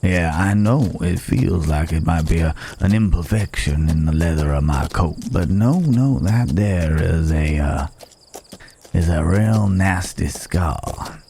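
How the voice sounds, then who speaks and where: A man playing a rugged, wandering cowboy stranger in an intimate ASMR roleplay, with folksy old-West phrasing, a low gravelly voice and hesitant pauses, gently teasing and caring. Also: a little hiss or hum. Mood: calm, caring. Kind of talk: ASMR roleplay, intimate acted monologue to the listener.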